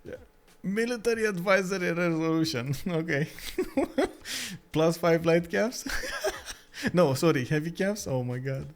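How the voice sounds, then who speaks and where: A man from Romania with a Romanian accent, hosting a stream and commentating a strategy game in chatty, halting talk that breaks into frequent laughs. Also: a little high, expressive. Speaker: esports caster and stream host.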